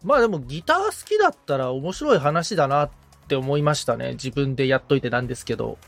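A man's voice: medium pitch, very animated, fast talker, creaky, a bit bright, faint music behind. A man chatting casually as the assistant on a podcast, answering the host's questions. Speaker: podcast assistant and guitar repairman. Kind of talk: casual interview chat.

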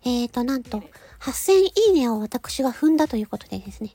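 High, nasal, cartoon-cute woman's voice. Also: lively intonation. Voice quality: nasal, stuffy-nosed. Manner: polite, giggly, halting phrases ending in a soft filler. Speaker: amateur voice actor hosting a personal audio channel. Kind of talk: casual chat to listeners.

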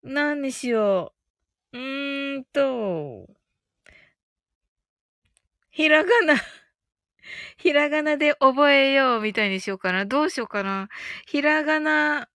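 A woman's voice: high voice, animated, slow pace, long pauses, fairly bright tone, no echo, clean studio sound. A woman hosting a casual live audio stream, chatting playfully with listeners, giggly and often repeating phrases with amusement. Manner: giggly, breaks into frequent laughter.

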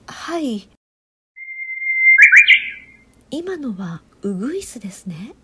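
A woman acts as an earnest female TV reporter in a comic audio drama. Her voice is clearly high. She speaks politely, with the measured, attentive delivery of an interviewer. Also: big pitch swings, mellow, exaggerated voice. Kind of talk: comedic audio drama acting.

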